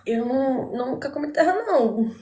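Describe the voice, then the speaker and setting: A woman from São Paulo hosts a casual live chat over a video call. She speaks a little high and giggles often, calling her guest sweet names. The call sounds roomy and echoey. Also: lively intonation, normal speed. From São Paulo, Brazil. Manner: warm, giggly, affectionate. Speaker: host.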